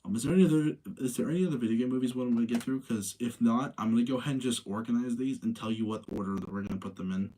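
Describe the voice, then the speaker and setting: A man hosting a livestream, talking casually in an informal, rambling, slangy way with a husky voice. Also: mid-range voice, rapid-fire, hardly any pauses, mellow, low-quality recording. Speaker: livestream host. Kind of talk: casual livestream chat and commentary.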